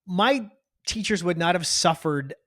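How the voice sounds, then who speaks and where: A man's voice: sits high, expressive, unhurried, pushed and bright, no echo, studio quality. A man co-hosting a podcast in casual, joking chat, with a wry, sweary, exaggerating way of talking. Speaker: podcast co-host.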